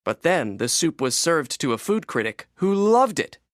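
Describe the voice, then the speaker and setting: Male narrator for kids' read-along book, animated delivery with wide pitch movement, dry recording. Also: moderate pace, dry recording. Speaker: storybook narrator.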